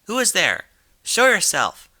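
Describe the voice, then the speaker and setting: A man's voice: high voice, wildly swooping pitch, measured pace, bright, forceful tone, pitched above the performer's own voice, full character voice. A man voicing a wary, nervous female pony who plays guitar in a narrated story. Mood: alarmed, challenging. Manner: nervous, challenging.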